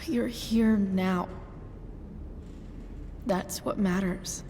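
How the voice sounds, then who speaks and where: A young woman playing an earnest, grieving teenage girl, blunt, halting and sincere, in a dramatic video game cutscene. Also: medium pitch, fairly even intonation, warm tone, in a large hall, slight background noise. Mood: gentle, grieving. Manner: halting, sincere, sometimes profane.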